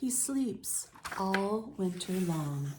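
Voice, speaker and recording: A woman reading a picture book aloud to young children as a storytime host, with deliberate, drawn-out phrasing. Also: mid-range voice, animated, a little hiss or hum.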